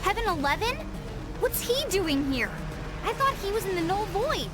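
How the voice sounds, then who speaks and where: A woman's voice: very high voice, big pitch swings, normal speed, over loud music. A woman voicing a bossy, brainy young girl in a cartoon game, snippy and emphatic. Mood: alarmed.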